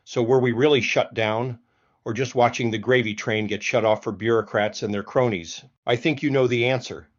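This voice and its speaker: A man's voice: medium pitch, steady tone, normal speed, highs cut off. A man hosting a political commentary show, delivering a scripted monologue in a folksy, emphatic way with pointed rhetorical questions.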